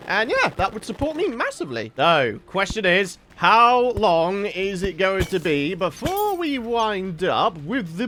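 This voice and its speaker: High, lively gamer, stuffy-nosed. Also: male voice. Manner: upbeat, chatty, exclamatory. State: stuffy nose from hay fever. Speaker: gaming YouTuber. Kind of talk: let's play commentary.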